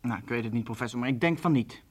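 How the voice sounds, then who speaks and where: A man's voice: medium pitch, quick pace, darkish tone, breathy edge, close to an everyday voice. A man playing an eager, helpful young assistant in a children's radio play, talking expressively on a noisy recording. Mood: curious, deferential.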